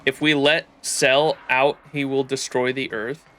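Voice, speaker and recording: A man's voice: medium pitch, level tone, a little slow, a bit bright. A man livestreaming a game with casual, jokey, profane commentary, sometimes reading dialogue aloud into a close mic. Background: game music.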